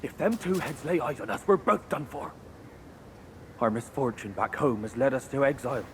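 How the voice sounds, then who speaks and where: A man's voice: medium pitch, lively intonation, moderate pace, natural voice. Man playing a wary, weary rat exile, faint noise. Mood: grave, fearful. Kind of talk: video game character acting.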